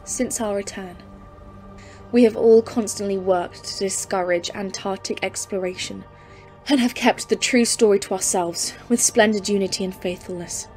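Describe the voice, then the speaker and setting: A woman's voice: mid-range voice, steady tone, moderate pace, a little mellow, close to an everyday voice. Woman acting grave expedition professor, formal literary delivery, intense and awed, audio drama, music underneath. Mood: grave, haunted. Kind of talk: dramatic audio play narration and dialogue.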